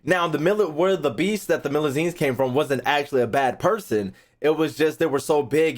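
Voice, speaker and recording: A young American man with a mid-pitched voice talks casually as a gaming streamer. He rambles, doubles back on phrases and drops slang and swearing freely. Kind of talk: casual, profane game reaction chat.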